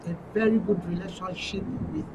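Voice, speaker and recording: A woman's voice: on the low side, fairly even intonation, a little fast. Nigerian woman candidate on noisy, distant mic. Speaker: election candidate guest. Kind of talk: interview answers. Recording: distant mic with clear noise.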